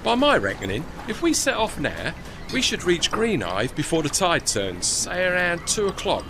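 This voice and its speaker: A man's voice: sits high, wildly swooping pitch, moderate pace, background noise, full character voice. An actor playing a chatty, deferential working-class tugman with a London Cockney accent and a rambling, folksy manner in a period audio drama. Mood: proud, affable.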